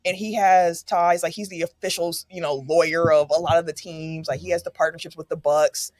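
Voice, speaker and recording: A woman from Milwaukee hosting a casual sports podcast, losing her voice after an illness, telling a story with animated energy and laughs. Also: fairly low pitch, a little fast, no room sound. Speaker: podcast host.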